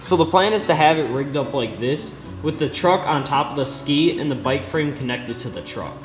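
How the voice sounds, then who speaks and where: A man's voice: on the high side, expressive, normal speed, over music. A teenage boy hosting a do-it-yourself video, narrating in a jokey, upbeat, playful way. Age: teens. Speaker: channel host. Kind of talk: casual DIY vlog narration.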